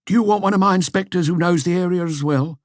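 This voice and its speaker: A man's voice: high-pitched, quick pace, no echo, clean studio sound, pitched above the performer's own voice, lightly acted. A man voicing a busy, obliging local police chief inspector in an audiobook. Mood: brisk.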